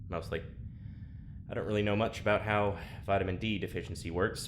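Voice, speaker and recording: A deep, low male voice plays an awkward prisoner in space for an audio drama. He rambles hesitantly with dry, deadpan sarcasm and a natural delivery. Clear ambient noise sits behind him.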